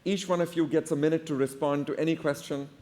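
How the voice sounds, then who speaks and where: Highish-voiced male moderator in a hall. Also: steady tone, darkish tone. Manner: direct, brisk instructions to audience. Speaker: panel moderator. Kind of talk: informal unscripted moderating. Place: conference hall with audience. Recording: reverberant hall sound.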